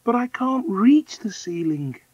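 A man's voice: high-pitched, lively intonation, a little slow, darkish tone, breathy edge, no echo, dull audio, voice pushed up, exaggerated voice. A man voicing a small, hopeful orphan girl in a children's storybook, polite and earnest.

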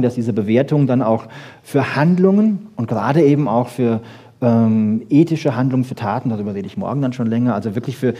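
A man giving a lively, colloquial lecture, speaking emphatically with rhetorical questions and frequent checking tags. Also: mid-range voice, breathy edge. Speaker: lecturer in brain research.

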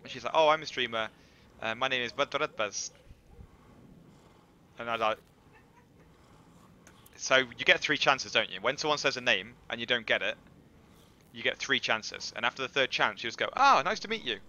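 A man's voice: mid-range voice, expressive, moderate pace, long pauses, sharp tone, lo-fi sound. A man in his thirties with an English accent, a streamer telling self-mocking stories in casual chat through a headset mic in a small room. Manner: chatty, self-mocking storytelling with swearing.

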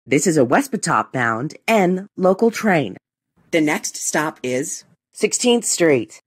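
A woman reading scripted subway announcements in a formal, measured way, in segmented phrases. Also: deep voice, very animated, breathy edge.

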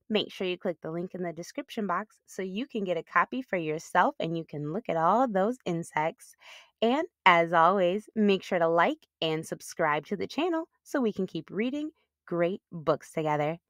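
A woman's voice: lowish voice, expressive, normal speed, fairly bright tone, no echo, studio quality. A woman hosting a children's read-aloud, talking to young listeners in simple, explanatory language.

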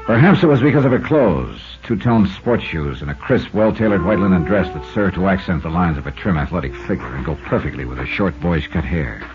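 A man's voice: low voice, lively intonation, fairly quick, few pauses, music in the background. A man playing a shrewd, unflappable investigator with an American accent in a 1950s radio detective drama. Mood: narrating. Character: shrewd, unflappable male insurance investigator, probing and wry. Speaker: lead actor and narrator. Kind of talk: 1950s radio detective drama acting and first-person narration. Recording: dull, band-limited 1950s radio recording.

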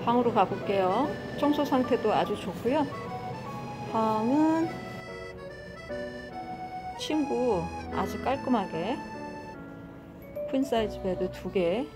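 High-voiced woman vlogger over loud music. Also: lively intonation, slow, pauses often. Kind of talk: casual travel vlog narration. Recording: loud background music over phone video. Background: music, some vehicle noise.

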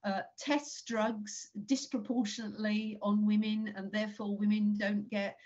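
Dull, slightly lo-fi video-call sound carries a woman in her sixties. Her pitch is average, and she presents in a deliberate, formal, articulate way. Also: level tone, darkish tone. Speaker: panelist. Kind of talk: measured panel presentation. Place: indoor room at home, video call.

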